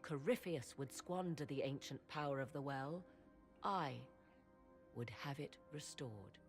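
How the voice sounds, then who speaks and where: Proud fantasy-game witch, deep female voice, slow archaic delivery, grave, music underneath. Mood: solemn, wistful. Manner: formal, archaic, measured speech. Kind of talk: dramatic fantasy game acting. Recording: music behind the voice.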